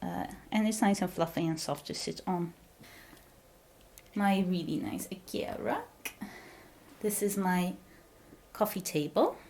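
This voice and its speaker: A woman's voice: lowish voice, lively intonation, a little slow, gravelly, pauses often, darkish tone, slight background noise. A young woman whose first language is Dutch, chatting casually as she shows her apartment room by room on a handheld camera, giggling and dropping to whispers now and then. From Belgium. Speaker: vlogger giving a home tour. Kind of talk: casual house tour narration. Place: small apartment room indoors. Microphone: handheld camera held while walking.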